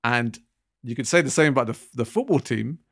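A man's voice: mid-range voice, animated, a little fast, fairly bright tone. A man in his thirties co-hosting a podcast, talking earnestly with many fillers and restarts. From Britain. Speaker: podcast co-host. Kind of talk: casual podcast discussion.

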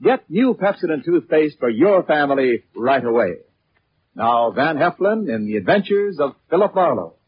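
A muffled vintage radio recording with faint music behind. An American male announcer in 1940s style sells emphatically, his high voice rising and falling dramatically. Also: big pitch swings, moderate pace. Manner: emphatic, selling.

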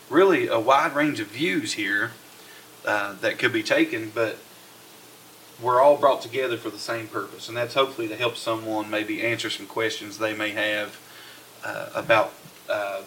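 A man's voice: mid-range voice, a bit bright, a little hiss or hum, rough recording. A man hosting a podcast, chatting casually with guests and using many fillers. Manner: friendly, halting with fillers. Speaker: podcast host. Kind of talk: casual interview chat.

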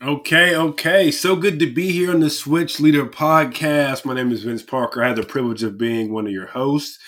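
A man hosting a casual podcast, stuffy-nosed, energetic and friendly as he asks questions. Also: a little high, normal speed. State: stuffy nose. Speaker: podcast host.

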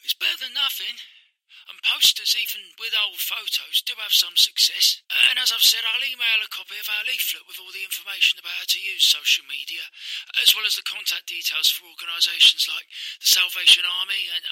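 High, bright-voiced apologetic official. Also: male voice, slight background noise, low-quality recording. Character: apologetic, by-the-book male helper handling worried relatives. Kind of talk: audio drama dialogue.

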